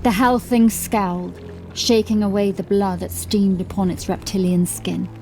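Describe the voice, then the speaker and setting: A woman narrator reading scripted horror fiction in a measured, deliberate, dramatic storytelling style. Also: medium pitch, steady tone, darkish tone. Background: music.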